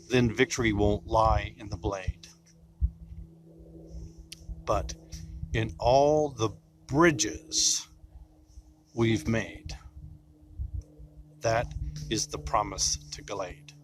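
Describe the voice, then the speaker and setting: A man reciting poetry in a solemn style, with deliberate phrasing and dramatic pauses. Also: on the low side, a little hiss or hum.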